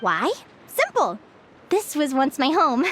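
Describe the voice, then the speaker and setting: Bubbly high-voiced young advisor. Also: female voice, takes it slowly, heavily acted. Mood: lecturing. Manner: bubbly and animated, given to giggles. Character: cheerful young woman guild advisor, eager and curious. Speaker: guild advisor. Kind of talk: video game voice acting. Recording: game audio with clear music. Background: game music.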